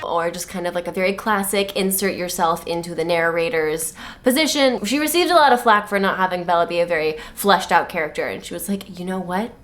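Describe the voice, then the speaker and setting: A woman's voice: lowish voice, fairly quick, hardly any pauses, a bit bright, soft background music. A woman co-hosting a podcast, recapping a book in a humorous chat with wry, mock-exasperated commentary. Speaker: podcast co-host.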